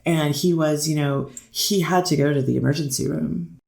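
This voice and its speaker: A performer playing a hesitant, deferential defense lawyer who pleads to a judge in halting, repetitive phrases, in a courtroom readers theater piece. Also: mid-range voice, steady tone, vocal fry, darkish tone, natural voice. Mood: halting, explanatory. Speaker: defense attorney. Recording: roomy, echoing voice recording.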